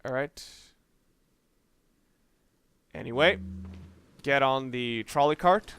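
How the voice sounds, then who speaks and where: A man's voice: mid-range voice, measured pace, fairly bright tone, slight background noise. A man giving casual let's play commentary in a rambling, conversational way, often correcting himself as he goes. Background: game music.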